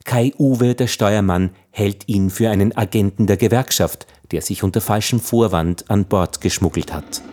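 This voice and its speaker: A man's voice: lowish voice, moderate pace, hardly any pauses, a little mellow. A man delivering sober, factual scripted narration in a documentary style for a radio play.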